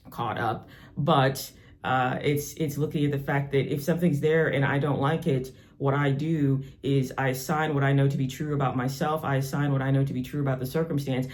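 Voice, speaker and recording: A man's voice: fairly high pitch, darkish tone. A man with a Southern US accent giving a casual spiritual talk in an earnest, reflective, conversational way. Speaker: spiritual teacher and channel host. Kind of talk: casual monologue teaching.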